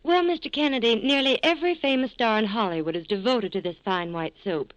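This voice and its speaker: A woman's voice: on the high side, a little fast, no room sound, rough recording. A woman radio reporter doing chatty, coy, polished advertising banter from a script, on an old 1940s broadcast. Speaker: radio reporter in sponsor segment.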